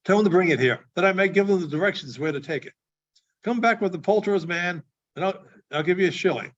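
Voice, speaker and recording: A man voicing an excitable, repentant old miser in a storybook reading, exclaiming and stammering as he repeats himself. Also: high-pitched, quick pace, muffled recording, lightly acted. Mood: giddy, excited.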